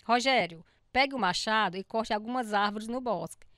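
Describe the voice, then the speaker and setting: A woman playing a strict, brisk farm aunt in a radio drama, commanding and emphatic. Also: fairly high pitch, fairly bright tone, no room sound, clean studio sound, close to an everyday voice. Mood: stern.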